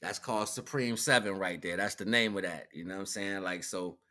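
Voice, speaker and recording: A man's voice: low voice, brisk, sharp tone. An American man chatting casually on a livestream as a musician, slangy and laid-back. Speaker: streamer and musician presenting own song.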